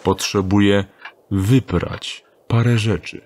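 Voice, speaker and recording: An actor playing a thief who speaks curtly and guardedly. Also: male voice, lowish voice, fairly even intonation, slow pace, darkish tone, slight background noise. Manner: curt, clipped. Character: terse young male thief, guarded. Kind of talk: radio drama acting.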